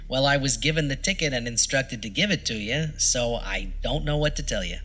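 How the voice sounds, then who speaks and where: Man playing a brusque, bright-voiced noir film critic. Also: mid-range voice, animated, normal speed. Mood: wry, impatient. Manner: wisecracking, dismissive, clipped slangy talk. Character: gruff, cynical 1940s male film critic, world-weary and brusque. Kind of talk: film noir audio drama acting.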